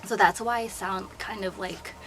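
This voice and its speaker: A young woman with a sore, hoarse throat, chattily explaining step by step how she curls her hair. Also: mid-range voice, normal speed. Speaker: beauty vlogger and hairstylist. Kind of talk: casual hair tutorial. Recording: noticeable background noise.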